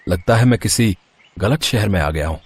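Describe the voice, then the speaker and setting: A man's voice: lowish voice, expressive, moderate pace, a little mellow, slightly rough. Man voicing an earnest cartoon schoolteacher hero. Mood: puzzled. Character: puzzled, confident young-ish male schoolteacher, cartoon hero. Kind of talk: Hindi children's cartoon story dub.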